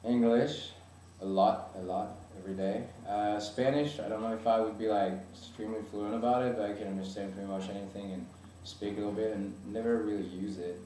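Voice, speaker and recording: A young man from France, a native French speaker, talks in English in a low, mellow voice and often stops to restart a sentence. He sits well back from the mic in an echoey room with a soft hiss under him. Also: steady tone, a little fast, distant mic. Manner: hesitant, many fillers and restarts. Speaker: interviewee. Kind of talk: casual interview answers.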